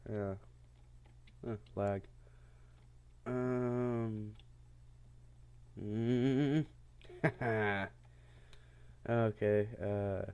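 A man casually commentating a game, congested, laughing often and yelling when he fails. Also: on the low side, steady tone, extremely slow, pauses often, clean tone, lo-fi sound. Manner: laughs often, yells at failures. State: congested, stuffy-sounding. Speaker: gameplay commentator. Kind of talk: casual let's-play commentary.

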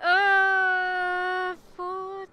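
A woman's voice: extremely high pitch, monotone, extremely slow, bright, forceful tone. A woman from Kenya stopped on a city shopping street, hesitantly guessing her answers aloud into an interviewer's handheld mic. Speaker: passer-by.

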